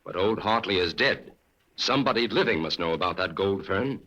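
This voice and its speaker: An actor playing a brisk, determined male crime-fighting investigator in a 1940s radio drama. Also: sits low, animated, fairly bright tone, highs cut off. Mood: explaining. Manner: brisk, urgent, commanding.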